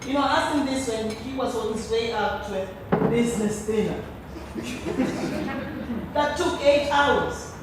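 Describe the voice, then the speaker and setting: A woman playing an imperious, scheming middle-aged wife and mother in a stage play, theatrical and deliberate. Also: fairly high pitch, expressive. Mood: mocking, calculating. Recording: distant, echoey, noisy theatre recording.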